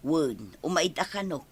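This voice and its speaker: A woman's voice: low voice, big pitch swings, takes it slowly, slightly rough, exaggerated voice. A woman playing a bustling grandmother in a radio drama, chiding and hurrying her husband along. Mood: fussing, fond. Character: bustling, nagging grandmother and wife.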